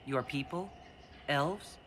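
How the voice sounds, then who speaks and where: A voice actor playing the protagonist leader, who speaks in a measured, questioning way. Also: mid-range voice, a bit bright, slight background noise. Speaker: player protagonist, the Herald.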